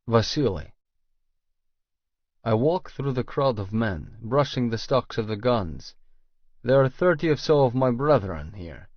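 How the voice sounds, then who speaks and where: A man's voice: medium pitch, no room sound, lo-fi sound. A man reading scripted first-person fiction as an audiobook narrator.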